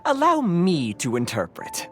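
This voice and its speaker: A haughty, devoted interpreter who speaks formally with lively swings of pitch. The game character is pompous and reverent, over faint music. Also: male voice, a little slow, full character voice. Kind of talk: video game voice acting.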